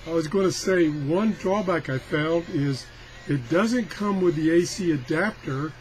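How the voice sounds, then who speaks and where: A man's voice: a little high, a little slow, slight background noise. A man talking casually through a hands-on product test, rambling along in a folksy, self-deprecating way. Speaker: product tester presenting a radio review. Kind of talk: casual hands-on demo chat.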